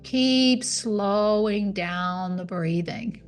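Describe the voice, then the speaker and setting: A woman leading a guided yoga nidra meditation in a calm, soothing, unhurried way. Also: medium pitch. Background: music.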